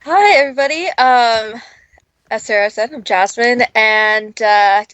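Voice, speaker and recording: A woman's voice: a little high, measured pace, fairly bright tone, no room sound. A woman chatting casually as an interview guest, friendly and upbeat. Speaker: audio producer guest. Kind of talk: casual chat.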